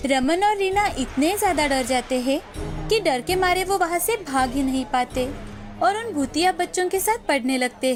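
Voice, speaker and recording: A woman reading a scripted children's story as its narrator. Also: high voice.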